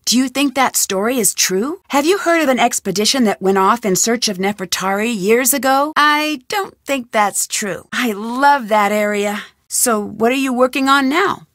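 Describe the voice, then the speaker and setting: A woman's voice: fairly high pitch, lively intonation, moderate pace, hardly any pauses, a bit bright, no room sound, clean studio sound. An actress voicing a curious young woman detective, asking polite, inquisitive questions in recorded game lines.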